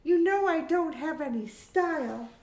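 Woman acting out a young grown-up daughter, bright, testing, high pitch, audiobook character, roomy echo. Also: animated, a little slow, a little hiss or hum. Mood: casual, eager.

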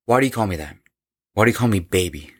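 A man's voice: fairly low pitch, expressive, fast talker. An actor playing a cocky, intense young man who leads a rock band, in a horror audio drama. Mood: challenging. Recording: voice over prominent background music.

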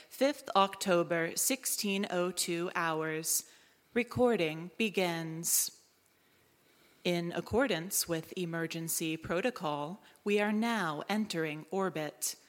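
A woman playing a calm, literal, gently caring ship AI in a live audio drama, speaking in a measured, formal way. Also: lowish voice. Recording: echoey live hall, reverberant.

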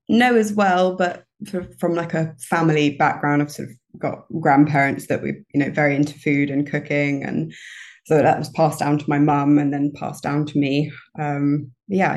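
A woman's voice: deep voice, fairly even intonation, normal speed, darkish tone. A woman, a podcast guest talking casually with hesitant ums and a self-deprecating manner. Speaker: podcast guest, operations manager. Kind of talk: casual interview chat.

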